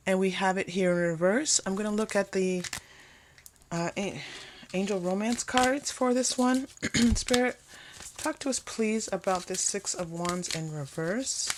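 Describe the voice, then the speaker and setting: A woman's voice: mid-range voice, slight background noise. A woman doing an unscripted tarot card reading, musing aloud and repeating phrases for emphasis as she addresses the viewer. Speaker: tarot reader.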